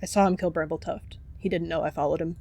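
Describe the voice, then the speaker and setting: A woman's voice: low-pitched, steady tone, fast, creaky, mellow. A woman voicing an anxious, guilt-ridden young apprentice cat in a roleplay, speaking in a hesitant, subdued way. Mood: shaken, subdued.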